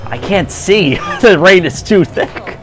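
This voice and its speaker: A man's voice: high-pitched, expressive, slow pace, a bit bright. A man giving casual, light commentary while he plays a video game. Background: game music and engine sound.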